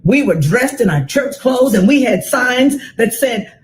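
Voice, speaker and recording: An American woman in her sixties reads a children's story aloud with dramatic, deliberate phrasing and emphatic repetition. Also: a little high, expressive, a little hiss or hum. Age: 60s.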